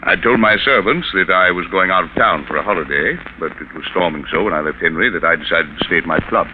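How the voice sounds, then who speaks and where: An actor playing an earnest, authoritative family doctor in a measured 1940s radio drama style. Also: male voice, deep voice, natural voice. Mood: measured, matter-of-fact. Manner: measured, matter-of-fact. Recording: tinny, noisy 1940s radio broadcast.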